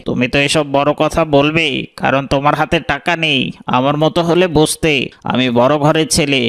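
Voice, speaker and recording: A man's voice: on the high side, fairly even intonation, fairly quick, fairly bright tone, clear, resonant tone, natural voice. A man playing an arrogant, spoiled young son-in-law from a rich family, dismissive and stubborn, in a moral cartoon. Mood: scornful. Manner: dismissive, haughty. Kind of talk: Bengali moral cartoon voice acting.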